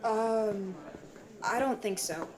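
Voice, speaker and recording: A woman's voice: mid-range voice, slow, background noise, natural voice. A woman playing a hesitant, guarded member of a self-improvement group in a naturalistic audio drama. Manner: hesitant, apologetic.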